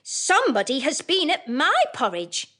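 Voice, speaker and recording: A middle-sized bear from a nursery tale, put on by a woman narrator. The voice is lifted well up in pitch and declaims each word with emphasis. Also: wildly swooping pitch, normal speed, bright, forceful tone, full character voice. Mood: indignant. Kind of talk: storybook character voice.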